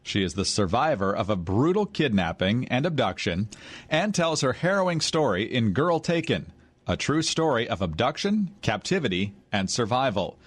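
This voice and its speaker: A man hosts a radio interview with a measured, direct way of asking questions. His voice sits at a middle pitch for a man. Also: big pitch swings. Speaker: radio host.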